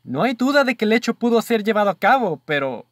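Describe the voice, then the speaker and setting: A man's voice: high-pitched, big pitch swings, fast talker, no room sound, clean studio sound. A man with a Mexican accent playing an earnest, easily astonished investigator in a radio drama, with formal, emphatic delivery. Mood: amazed. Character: earnest male investigator's companion, astonished, reasoning aloud. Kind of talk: radio drama acting, formal literary dialogue.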